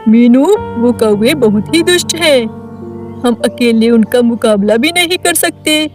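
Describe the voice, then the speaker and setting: A woman voicing a steady, protective father bird in a children's cartoon story, earnest and reassuring. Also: a little high, unhurried, soft background music.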